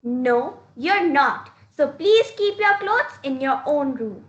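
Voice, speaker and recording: A woman acts out a strict mother in a classroom play. Her voice is high and her delivery slow and deliberate, with firm, instructive phrasing. The sound is roomy and echoing. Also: expressive, highs cut off, a little hiss or hum. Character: strict, advising mother of a talkative young girl. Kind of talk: classroom reader's theater dialogue.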